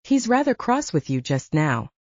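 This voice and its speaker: Lady narrator doing a cool dry werewolf guy in an audiobook, sardonic, mellow tone, slightly dull audio. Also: deep voice, sing-song, moderate pace, dry recording, exaggerated voice. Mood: cool mockery. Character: cool, sardonic male werewolf, protective son. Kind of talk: audiobook character acting.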